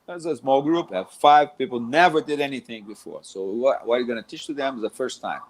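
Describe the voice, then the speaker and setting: A man's voice: medium pitch, fairly quick, a little hiss or hum, rough recording. Brazilian man rambling about jiu-jitsu teaching. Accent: Brazilian Portuguese. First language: Portuguese. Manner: rambling, restarts often, says "man" a lot. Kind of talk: casual talk about teaching.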